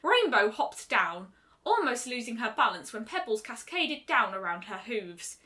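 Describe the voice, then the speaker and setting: A woman's voice: a little high, very animated, unhurried, sharp tone. A woman reading a scripted story aloud as a narrator. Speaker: fan fiction reader.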